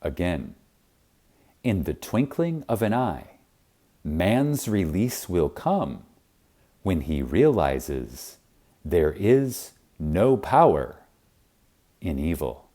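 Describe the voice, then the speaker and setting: A man with a dark, relaxed voice reads a spiritual text as an audiobook narrator. His delivery is very slow and reverent, with animated intonation. He leans on key words and leaves deliberate pauses for effect. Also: sits low. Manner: reverent, emphatic, dramatic pauses. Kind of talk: scripted audiobook reading of spiritual teaching.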